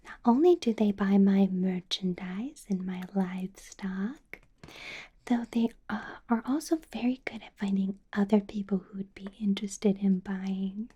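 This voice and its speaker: A woman acts out a female vampire boss in an ASMR roleplay, whispering in a soft, dark, breathy voice. She is possessive and doting, coaxing the listener with an undercurrent of menace. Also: medium pitch. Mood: coaxing, confiding. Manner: slow, coaxing, with small chuckles and pauses.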